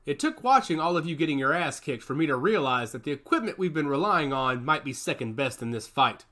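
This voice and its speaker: A man's voice: fairly high pitch, expressive, brisk, pushed and bright. A man voicing a brash, cocky augmented fighter who talks with swagger and defiance. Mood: confident. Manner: confident, defiant.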